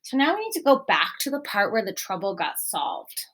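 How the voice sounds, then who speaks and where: Expressive woman teacher reading aloud. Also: mid-range voice, moderate pace, a little hiss or hum. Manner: expressive, explanatory, addresses young children. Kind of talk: storybook read-aloud with guiding questions.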